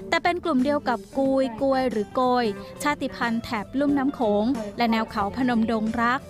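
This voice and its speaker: A woman reading scripted documentary narration in a measured, even way. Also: high-pitched. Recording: voice-over with loud music bed.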